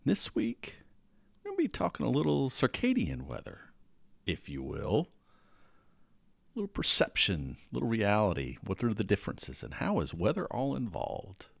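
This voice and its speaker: A man's voice: fairly low pitch, huge pitch swings, moderate pace, vocal fry, breathy edge, no echo. A man hosting a podcast, talking casually as he gets over a cold. He rambles and stumbles over his words, often repeating himself. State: recovering from a cold. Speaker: podcast host. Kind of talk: casual solo podcast talk.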